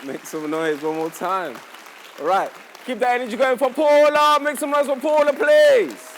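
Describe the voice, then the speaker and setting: A man's voice: very high-pitched, moderate pace. A man from Nigeria hosting a live poetry event, joking and calling on the audience to cheer for each poet. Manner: energetic, joking, calls for cheers. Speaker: event host. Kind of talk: hyping up a live crowd. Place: indoor conference hall stage. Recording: live event with loud crowd noise.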